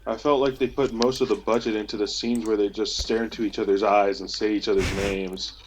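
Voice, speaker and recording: A man's voice: fairly low pitch, steady tone, a little fast. A young man, chatting casually as a podcast co-host. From Canada. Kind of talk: casual group chat. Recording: faint noise, keyboard clicks.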